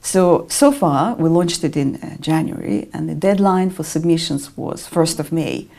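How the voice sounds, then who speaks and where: A middle-aged woman from Russia with a Russian accent, answering interview questions in a measured way with frequent ums. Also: sits low, animated, gravelly, darkish tone. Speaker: interview guest, artist and educator.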